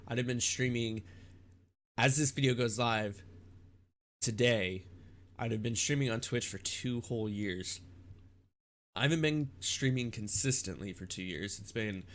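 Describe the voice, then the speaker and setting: A young man with a congested, stuffed-up nose, rambling in a halting casual vlog about his streaming. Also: medium pitch. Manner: rambling, halting with many restarts and fillers. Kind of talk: casual vlog talk to camera.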